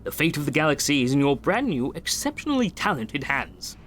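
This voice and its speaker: Brisk, encouraging male game doctor, instructive and formal, lightly high pitch, ambient noise behind. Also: big pitch swings, fairly bright tone. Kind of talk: video game tutorial dialogue acting.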